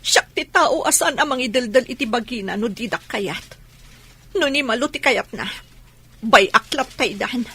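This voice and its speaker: A woman playing a hurt, long-suffering wealthy wife in an Ilocano audio drama, with a husky voice. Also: a little high, expressive, normal speed, bright, forceful tone, exaggerated voice. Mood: hurt, bitter.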